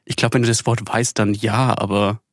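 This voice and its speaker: A man's voice: on the low side, little pitch movement, normal speed, no room sound, studio quality. A young man in his twenties co-hosting a podcast in casual chat, playful and joking, who grew up bilingual. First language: bilingual upbringing. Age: 20s. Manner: playful, jokey, sings silly bits. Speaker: podcast co-host.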